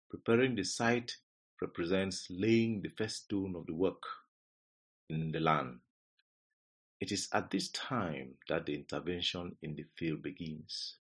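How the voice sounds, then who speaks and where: A Cameroonian man narrates a training lesson from a script. His voice is low and somewhat husky, with a Cameroonian accent. He reads deliberately, setting out each phrase in turn as an instructor would. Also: fairly bright tone.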